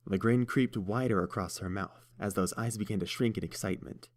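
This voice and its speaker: A man reads the story aloud in a steady, measured way, his voice sitting a little low. He narrates evenly, handing off to the characters' voices. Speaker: audiobook narrator. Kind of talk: scripted fan fiction narration.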